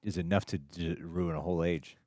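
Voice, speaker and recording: A man's voice: deep voice, steady tone, brisk, a little mellow, no echo, clean studio sound. A man co-hosting a reaction show, joking and exclaiming as he reacts to what he sees. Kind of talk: casual reaction chat.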